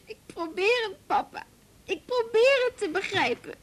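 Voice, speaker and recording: A woman's voice: extremely high pitch, lively intonation, moderate pace, noisy background. A female performer playing a timid, insecure young schoolgirl in a Dutch radio play, speaking in a childlike, hesitant way. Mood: tearful, unsure.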